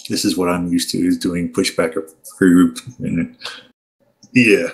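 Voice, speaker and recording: A man's voice: low-pitched, little pitch movement, a little slow, vocal fry. A man giving casual running commentary on an online flight simulator session. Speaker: video host watching and commenting on virtual air traffic control session.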